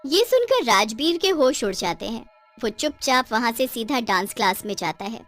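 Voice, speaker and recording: A woman's voice: a little high, sing-song, moderate pace, faint music behind. A woman reading dramatic scripted narration for a cartoon horror story.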